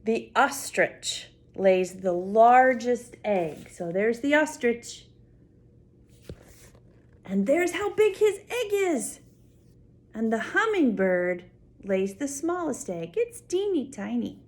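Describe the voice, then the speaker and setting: Older female, grandmotherly story-time reader. Slow pace, lively swooping intonation, expressive and wide-eyed, talking to little kids. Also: on the high side. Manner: expressive, full of wonder, simple explanations for small children. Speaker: grandmother reading a picture book to children. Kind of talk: read-aloud story time with spontaneous asides to a child.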